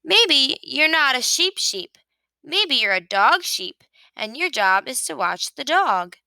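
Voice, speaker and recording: A woman's voice: high voice, sing-song, takes it slowly, sharp tone, no room sound, pitched above the performer's own voice, exaggerated voice. A woman voicing a plain-spoken male sheepdog in a children's picture book, simple and matter-of-fact. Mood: gently explaining.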